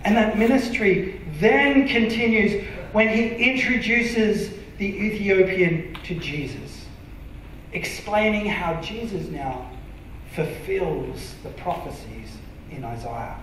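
An Australian man preaching a sermon from a church stage, earnest and emphatic, sometimes dropping to a soft, intimate tone. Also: sits high, slow pace, slight background noise. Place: large dark church auditorium stage. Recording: very echoey hall from far off.

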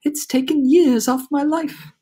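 Storybook ladybug played by a woman: female, courteous, anxious, pitched high. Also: steady tone, a little slow, dark tone. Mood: shaken. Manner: polite, fretful.

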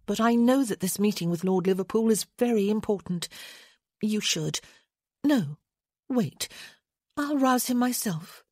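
A woman voicing a refined young aristocratic wife in an audiobook, playful and deeply emotional. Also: lively intonation, measured pace, long pauses, darkish tone, no echo, clean studio sound. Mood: gracious. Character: young aristocratic wife, playful then desperately grief-stricken. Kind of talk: dramatic audiobook character acting.

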